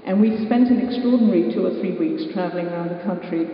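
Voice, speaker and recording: A woman's voice: lowish voice, fast, a little hiss or hum. An older woman from England telling a personal story at a live event, with dry, self-mocking humor. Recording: distant, echoey hall, muffled.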